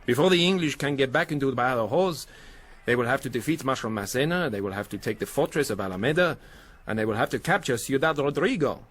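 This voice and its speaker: An actor playing a genial, teasing French army captain in a radio drama, with a French accent. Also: male voice, medium pitch, animated, quick pace, fairly bright tone. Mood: amused exasperation.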